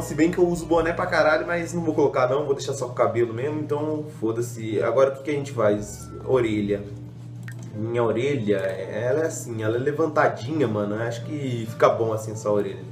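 A man's voice: mid-range voice, quick pace. A young man talks through a game in a loose, slangy, rambling way, poking fun at himself as he goes. His voice is a little stuffy from a cold. The room around him is echoey, with faint music playing underneath. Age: young adult. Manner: slangy, rambling, self-mocking. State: slightly congested from a cold. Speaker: gaming youtuber. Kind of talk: casual gameplay commentary.

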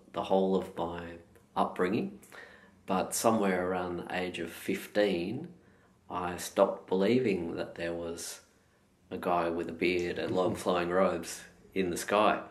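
A middle-aged Australian man with a low voice speaks unhurriedly and thoughtfully, as a guest telling his story. The sound carries an echo from the room around him. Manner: slow, measured and thoughtful. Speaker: meditation teacher, guest. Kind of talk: reflective interview answers. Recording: echoey room.

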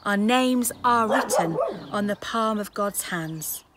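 A woman's voice: mid-range voice, takes it slowly, a bit bright. A middle-aged woman minister giving a devotional address to introduce a church service. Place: outdoors in a leafy garden. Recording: outdoor ambient noise.

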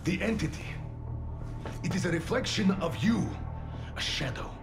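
A man playing a middle-aged father in a video game, grave and halting, guarded yet protective of his daughter. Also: high-pitched, vocal fry. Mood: urgent, pleading. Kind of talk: dramatic video game cutscene acting. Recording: music or noise behind.

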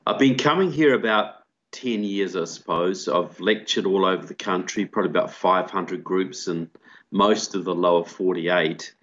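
A man's voice: deep voice, normal speed. An older man with a New Zealand accent, explaining at length as a guest on a video call from a room at home. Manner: steady explanatory monologue. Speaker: guest, author and filmmaker. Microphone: video call with earbud mic. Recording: dull lo-fi call audio.

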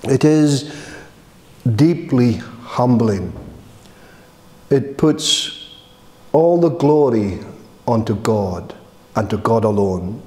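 A middle-aged man delivers a solemn sermon from a lectern in a church hall. His delivery is slow and deliberate, with a dark, mellow tone. The room gives his voice a noticeable echo. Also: medium pitch, lively intonation. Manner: measured, solemn preaching with emphatic repetition. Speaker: minister.

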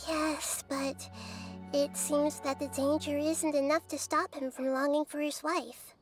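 A woman voicing a gentle, wise child-like goddess in a video game, soft and girlish, calm and thoughtful. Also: high-pitched, measured pace. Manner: calm, thoughtful, explains with analogies. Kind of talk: game voice acting. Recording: music behind voice.

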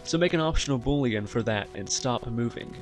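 Male voice, mid-pitched, instructional, step-by-step tutorial narration, music behind. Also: brisk. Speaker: tutorial host. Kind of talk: software tutorial narration over screen recording.

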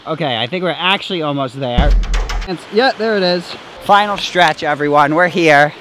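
A man's voice: on the high side, normal speed, noisy background. A young man hosting an outdoor exploring vlog, joking and mock-dramatic as he rambles through the woods, recorded on a camera mic outdoors. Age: young adult. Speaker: host. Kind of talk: outdoor hiking vlog with voice-over narration. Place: wooded hillside outdoors.

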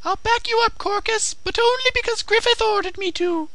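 A man voicing a prickly, devoted young female warrior in a matter-of-fact falsetto for a comedic anime parody. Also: moderate pace, over a noisy crowd. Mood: irritated.

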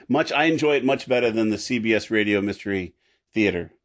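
A man's voice: lowish voice, animated, a little fast. A man hosting a podcast, chatting casually. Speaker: podcast host. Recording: slightly dull, lo-fi recording.

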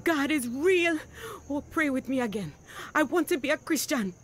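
An actress playing a battered, pleading old mother, fervent and halting, in a radio drama. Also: sits high, lively intonation. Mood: ecstatic. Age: older. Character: battered, pleading little old woman, long-lost mother. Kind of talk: emotional radio drama acting.

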